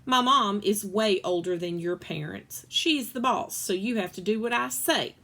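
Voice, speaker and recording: A woman's voice: mid-range voice, measured pace, a bit bright. A woman voicing a bossy, self-assured nine-year-old girl in a children's storybook.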